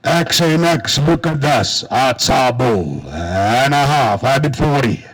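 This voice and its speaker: A man's voice: mid-range voice, animated, slow pace, background noise. A man with a South African Afrikaans accent working as a livestock auctioneer, calling in a repetitive, rhythmic auction style. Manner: repetitive rhythmic bid calling, switching between two languages. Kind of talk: auction chant and commentary.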